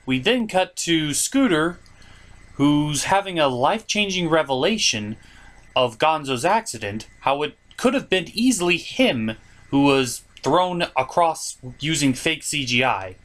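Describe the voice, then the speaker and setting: A male comedy review host with a fairly high voice that swings widely in pitch. He delivers sarcastic scripted commentary in a theatrical, mocking way. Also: expressive, unhurried, a bit bright. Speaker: host of a puppet review show.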